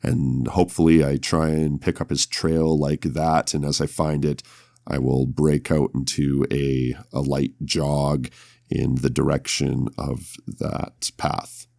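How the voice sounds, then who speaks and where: A man with an extremely low voice that crackles with gravel, speaking at a slow, deliberate pace. He sounds close and dry as he narrates and plays a tabletop horror game. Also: level tone, dark tone, no room sound. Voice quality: very deep, gravelly. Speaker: podcast host and tabletop player. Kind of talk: scripted horror intro narration, then casual roleplay.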